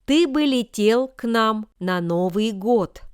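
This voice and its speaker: Very clear, slow-reading woman. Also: on the high side, expressive, dry recording, clean studio sound. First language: Russian. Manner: careful, deliberate enunciation. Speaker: language lesson reader. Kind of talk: reading example sentences slowly for learners.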